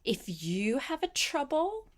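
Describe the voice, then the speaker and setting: An older woman reading a storybook aloud as a grandmother, expressive and often turning to speak directly to a child. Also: sits high, takes it slowly.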